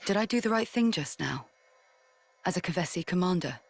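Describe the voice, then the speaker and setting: An actress playing a weary woman army commander who speaks in a measured, grave, formal way. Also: lowish voice, lively intonation, creaky. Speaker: military commander.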